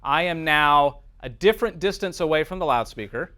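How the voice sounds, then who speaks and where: A man giving an informal software lesson as a teacher, thinking aloud through each step and often checking in with the listener. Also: fairly high pitch, lively intonation, moderate pace. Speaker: teacher, lecturer.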